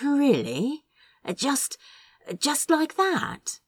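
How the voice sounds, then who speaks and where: A woman voicing a casual, incredulous, teasing male sorcerer in an audiobook, speaking informally in halting bursts. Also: high-pitched, huge pitch swings, no echo, clean studio sound, pitched above the performer's own voice, exaggerated voice. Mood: dazed.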